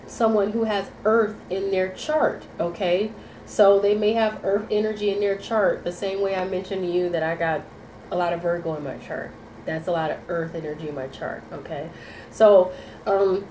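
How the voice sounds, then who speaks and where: A woman's voice: mid-range voice, darkish tone, echoey room, slight background noise, rough recording. A woman giving a casual, rambling tarot reading. She sounds nasal from sinus congestion and keeps checking in with "okay?" and "you know". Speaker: tarot reader.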